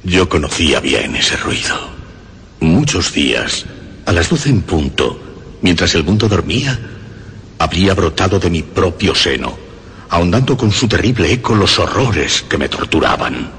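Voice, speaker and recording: A deranged, cunning killer confesses with morbid pride in a radio horror drama. The voice is very low and theatrical, brooding through long pauses, then swelling in wide melodic swoops. It rings in a long, cavernous reverb. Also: male voice, very animated, fairly bright tone, husky, full character voice. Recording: long reverb, faint background noise.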